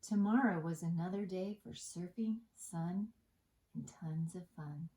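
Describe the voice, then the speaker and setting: Slow-reading woman hosting children's bedtime storytime. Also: lowish voice, warm tone. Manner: singsong, deliberate, with asides to listeners. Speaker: storytime host and reader. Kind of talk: bedtime picture-book read-aloud for young children. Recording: echoey room.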